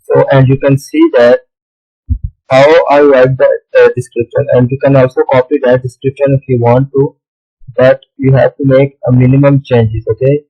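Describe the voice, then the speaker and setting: A man whose first language is Urdu, walking through a screen tutorial in a halting way, often pausing on "okay, so". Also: mid-range voice, flat delivery, lo-fi sound, soft background music. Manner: halting, with many "okay, so" fillers. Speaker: tutorial host. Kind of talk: screen-recorded tutorial walkthrough.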